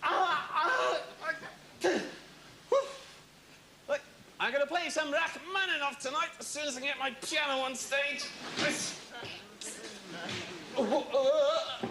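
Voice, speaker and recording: A man's voice: very high voice, slow pace, long pauses, a little hiss or hum, exaggerated voice, poor audio. A man doing a flustered bumbling pianist. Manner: fumbling, hesitant, breathless mutters. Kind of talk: comedy sketch performance. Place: TV studio stage before audience. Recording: live studio with loud audience laughter.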